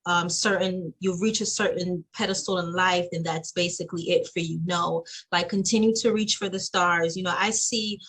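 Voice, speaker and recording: A woman's voice: on the low side, fairly bright tone. A woman on a panel, speaking encouragingly to other women. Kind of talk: panel discussion.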